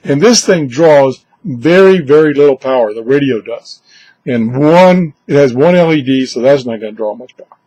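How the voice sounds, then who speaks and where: A man's voice: mid-range voice, animated, moderate pace, a little mellow, slightly rough, no echo, low-quality recording. A man giving a casual, unscripted product review in a conversational way, musing aloud with wry asides. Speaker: product reviewer presenting a gadget.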